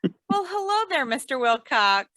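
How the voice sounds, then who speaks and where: A woman acting a sensible wife who drily teases and gently scolds in an old-time radio comedy. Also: high-pitched, sing-song, unhurried, dry recording, clean studio sound, full character voice. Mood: teasing.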